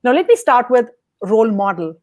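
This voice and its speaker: A woman's voice: on the high side, animated, a little fast, a little mellow, muffled recording. Upbeat woman podcast host. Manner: upbeat, asks prepared questions. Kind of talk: interview hosting.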